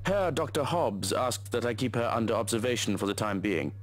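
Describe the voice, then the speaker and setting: A man's voice: medium pitch, expressive, a little fast, soft background music, close to an everyday voice. A man playing a formal, dutiful medical attendant in a visual novel game, speaking in a businesslike way.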